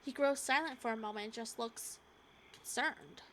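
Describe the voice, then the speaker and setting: A woman reading a visual novel aloud as a gaming streamer, halting and expressive, with casual asides. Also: a little high, slight background noise. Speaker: gaming YouTuber.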